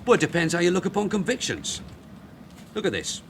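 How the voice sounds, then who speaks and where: An actor playing a shrewd, blunt middle-aged police detective sergeant in a radio drama. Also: male voice, high voice, huge pitch swings, fairly quick, creaky, slight background noise, exaggerated voice. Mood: brisk, sardonic. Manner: blunt, dry, probing.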